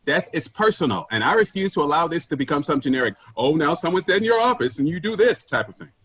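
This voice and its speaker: A man's voice: a little high, big pitch swings, fast, fairly bright tone, slightly rough, heavily acted. A man acting an overbearing, self-important general manager in an argumentative way, in a staged play reading. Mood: sarcastic, commanding. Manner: argumentative, pompous.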